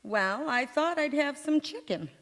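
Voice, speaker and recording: A woman's voice: high-pitched, expressive, unhurried, fairly bright tone. A woman playing a breezy, naive, overconfident housewife in a vintage radio comedy on stage. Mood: breezy, confident. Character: scatterbrained, cheerfully overconfident housewife in a radio sitcom. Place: large indoor hall, live stage performance. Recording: reverberant church hall stage recording.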